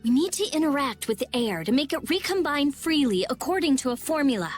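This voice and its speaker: A prickly teenage mage from a video game. She is high and highly expressive, blunt and impatient, with faint music underneath. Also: fairly quick. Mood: intent. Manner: blunt, snappy, absorbed. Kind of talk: animated video game acting.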